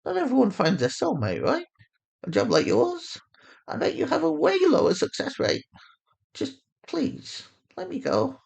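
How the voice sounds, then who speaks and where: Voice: sits high, huge pitch swings, measured pace, creaky, a little mellow, pitched above the performer's own voice, full character voice, low-quality recording. A performer voicing a defiant, pleading young woman held captive in an audio drama, urgent and argumentative. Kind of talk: acted audio fiction.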